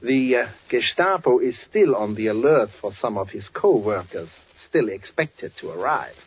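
Smug wartime official, swooping pitch. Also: male voice, lively intonation, slightly rough. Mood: veiled menace. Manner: formal, insinuating, deliberate with small hesitations. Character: smug, menacing Nazi German munitions official. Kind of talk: 1940s radio drama acting. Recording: dull, faintly noisy 1940s radio recording.

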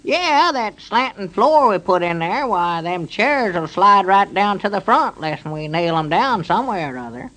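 A highish, fretful old man's voice in a 1930s radio comedy. He is a penny-watching country storekeeper who grumbles and worries in a folksy rural Southern American accent. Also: lively intonation, moderate pace, hardly any pauses, bright, forceful tone, low-quality recording. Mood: folksy, practical. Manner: folksy, worrying, complaining. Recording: 1930s radio broadcast recording.

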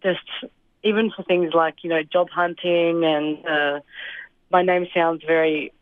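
A young woman from Australia answering interview questions in a thoughtful, hesitant way, with fillers and soft laughs. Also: on the low side, steady tone, measured pace, dry recording. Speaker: interview guest. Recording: dry, phone-like line.

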